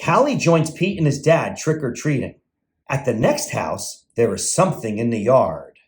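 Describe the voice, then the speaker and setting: A man narrating a picture book for young children in an animated read-aloud style. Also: medium pitch, normal speed.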